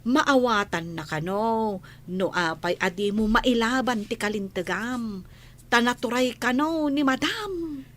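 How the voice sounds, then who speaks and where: A woman's voice: fairly high pitch, measured pace, fairly bright tone. A woman playing a respectful, concerned household helper in a radio drama, deferential and exclamatory. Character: respectful, concerned female household helper addressing her employer.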